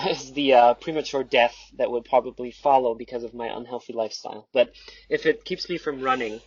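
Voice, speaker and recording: A man talks casually as a podcast participant. His pitch is middling and his manner is dryly tongue-in-cheek. The recording is a bit dull and lo-fi. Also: brisk. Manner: dry, tongue-in-cheek humour. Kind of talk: casual chat.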